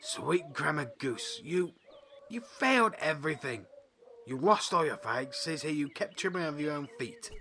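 An actor playing a brusque, scornful drill sergeant duck in an audio drama, barking sarcastic military orders. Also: male voice, on the high side, lively intonation, normal speed, sharp tone, a little hiss or hum.

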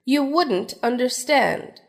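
A woman's voice: high voice, animated, slow pace, vocal fry, full character voice. A woman playing a proud, jealous, middle-aged stage actress with biting sarcasm in a theatrical radio drama style. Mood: icy sarcasm. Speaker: voice actor in an audio drama. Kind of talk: theatrical old-fashioned radio drama acting.

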